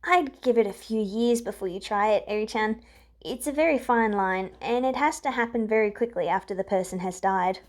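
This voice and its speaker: A woman's voice: medium pitch, moderate pace, lightly acted. A woman voicing a confident, kindly teenage girl hero in a story reading. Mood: gently explaining.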